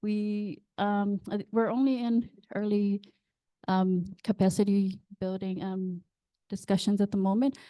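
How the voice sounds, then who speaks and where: A woman's voice: medium pitch, little pitch movement, measured pace, warm tone, no room sound. A woman from Samoa giving a formal workshop presentation steadily, with some fillers.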